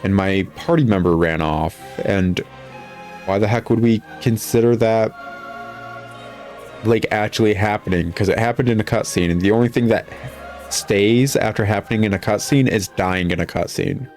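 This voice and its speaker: Low-voiced man, sarcastic gaming commentary. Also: normal speed, a little mellow, soft background music. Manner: sarcastic, exasperated, rambling. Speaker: gaming video host, critic. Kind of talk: casual, critical video game commentary.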